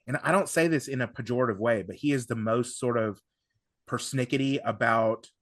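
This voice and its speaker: A man's voice: medium pitch, dry recording, studio quality. A man chatting casually as a podcast host, enthusiastic and often doubling back on his words. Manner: halting, repeating words, enthusiastic. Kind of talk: casual discussion of audio drama.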